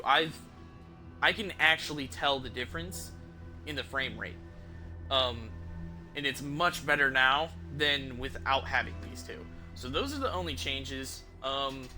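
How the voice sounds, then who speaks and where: A man doing casual commentary for viewers on a game stream, thinking out loud as he goes. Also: on the high side. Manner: thinks aloud, hums while deciding.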